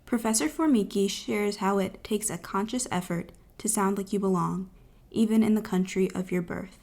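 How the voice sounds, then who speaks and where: A woman reading a podcast's scripted narration in a measured, even way. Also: mid-range voice.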